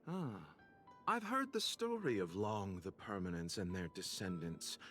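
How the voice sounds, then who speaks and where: An actor voicing a composed, mysterious male merchant in a video game, talking smoothly with soft amused laughs. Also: fairly low pitch, very animated, unhurried. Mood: musing. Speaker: game character. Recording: game audio with faint music behind.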